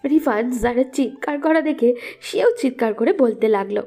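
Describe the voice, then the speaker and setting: মৃদু, নরম সুরের চড়া গলার নারী গল্পকথক. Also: female voice, on the high side, moderate pace, dark tone, soft background music. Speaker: narrator. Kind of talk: scripted story narration.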